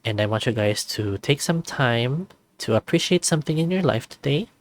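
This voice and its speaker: A man's voice: mid-range voice, expressive, moderate pace. A man narrating a visual novel and chatting casually as the host of a read-aloud channel.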